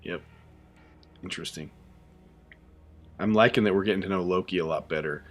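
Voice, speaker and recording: Casual male streamer, deep voice, loose chatty delivery with fillers. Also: normal speed, slight background noise. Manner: relaxed, chatty, many fillers and restarts. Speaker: YouTube host reacting to a show. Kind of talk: casual live reaction chat.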